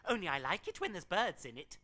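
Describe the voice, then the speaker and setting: A woman's voice: on the low side, huge pitch swings, fairly quick, pushed and bright, full character voice. A woman voicing an eager, chatty little animal friend who fusses and interrupts, in a children's television show. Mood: chatty, apologetic. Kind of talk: children's television character acting.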